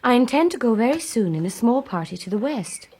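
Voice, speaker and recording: Gentle, thoughtful young gentlewoman. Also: on the high side, big pitch swings, fairly quick, slightly rough. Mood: tender, eager. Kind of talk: period radio drama acting.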